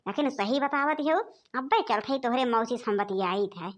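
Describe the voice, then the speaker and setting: A woman's voice: a little high, expressive, normal speed, close to an everyday voice. A woman voicing a worried, scolding village mother-in-law in a cartoon comedy, with an Awadhi accent and a lamenting, nagging manner. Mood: troubled. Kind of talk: animated comedy dialogue.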